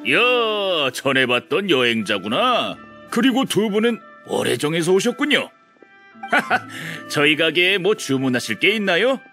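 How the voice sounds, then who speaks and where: Game shopkeeper: envious schemer, theatrical, high-pitched and very animated. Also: male voice, a little slow, soft background music, heavily acted. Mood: eager, fawning. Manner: exaggerated, theatrical, swinging between fawning and indignant. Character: grudge-bearing male shopkeeper, excitable, scheming, envious of rival. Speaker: game character voice actor. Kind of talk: animated video game voice acting.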